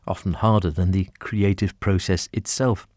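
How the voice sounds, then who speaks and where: A man's voice: deep voice, fairly even intonation, moderate pace, darkish tone, no room sound, studio quality. A man hosting a radio arts programme in a conversational presenting and interviewing style. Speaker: radio arts show host.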